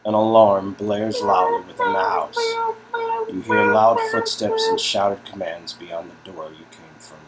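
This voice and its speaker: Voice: medium pitch, huge pitch swings, a little slow, heavy background noise. A narrator reading an interactive story game aloud in a dramatic style, breaking into casual, joking asides.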